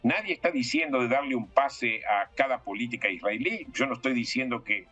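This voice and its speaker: Male political commentator, bright forceful tone, emphatic explainer, faint music underneath. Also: mid-range voice, a little fast, dull audio. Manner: emphatic, explanatory, poses rhetorical questions. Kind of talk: political analysis in an interview.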